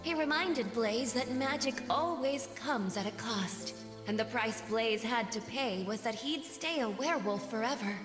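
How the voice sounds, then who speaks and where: A solemn, enchanted storyteller from a fantasy game. The voice is fairly high and rings with long reverb, telling its fairy tale over clear music. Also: female voice, expressive, a little slow. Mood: solemn, mystical. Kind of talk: scripted fairy-tale narration in a video game.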